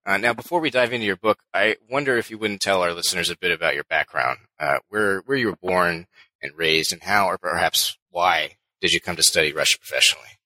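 Brisk deep-voiced male interviewer. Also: sharp tone, no echo, low-quality recording. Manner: brisk, polished reading. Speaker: podcast host, interviewer. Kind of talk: scripted introduction and interview questions.

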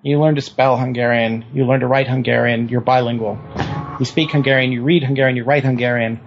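A man's voice: medium pitch, fairly even intonation, fairly quick, highs cut off, soft background music. A man speaking as an interviewed historian, explaining things precisely in a conversational academic style and checking that he is understood. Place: lives in New Zealand.

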